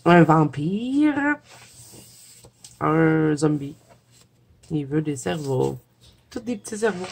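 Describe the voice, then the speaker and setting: A woman with a Québécois French accent, stuffy from a cold, chatting casually as a coloring vlogger, filling pauses with "euh" and soft giggles. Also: sits low, lively intonation, slight background noise. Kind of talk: casual chat flipping through a coloring book.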